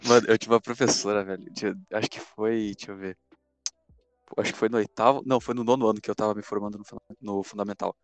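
A man's voice: lowish voice, fairly even intonation, fast talker, soft background music. An eighteen-year-old Brazilian man chatting casually in an informal, exclamatory way full of slang. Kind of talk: casual chat.

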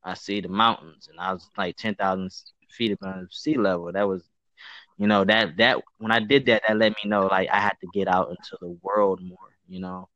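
A man's voice: steady tone, moderate pace, bright, forceful tone, no room sound. A man in his twenties from the United States chatting casually, rambling with lots of fillers. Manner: loose, rambling, many fillers like "like" and "you know". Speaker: podcast co-host. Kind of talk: casual chat. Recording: muffled low-fidelity audio.

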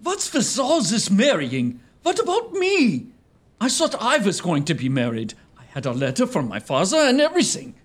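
A man's voice: high voice, wildly swooping pitch, moderate pace, heavily acted. A man playing a hapless, timid young suitor, plaintive and comically indignant, in a gothic comedy audio drama. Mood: hurt, plaintive.

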